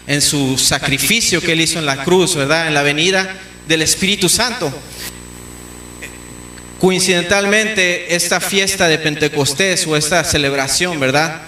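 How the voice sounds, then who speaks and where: A man from Mexico, preaching a teaching sermon in a church, often pausing with fillers and restarts. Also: on the high side, sharp tone. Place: church hall. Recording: echoey church room with faint noise.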